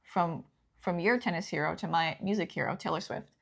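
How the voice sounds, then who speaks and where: A young woman hosting a casual news chat, chatty and lively, quick to laugh. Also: on the low side, creaky. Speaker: host.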